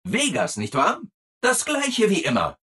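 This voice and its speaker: Over-eager robot assistant, video game character, hugely swooping intonation, very husky rough-edged tone, relentlessly cheerful. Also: male voice, high voice, wildly swooping pitch, unhurried, sharp tone, full character voice. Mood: upbeat, eager. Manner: chirpy, over-eager, exaggeratedly obliging.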